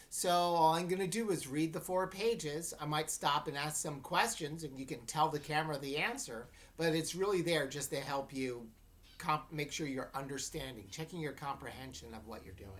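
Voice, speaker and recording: A woman teacher giving an informal read-aloud lesson, explaining things in an unhurried way and checking that listeners follow. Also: low-pitched. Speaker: English teacher reading aloud to students.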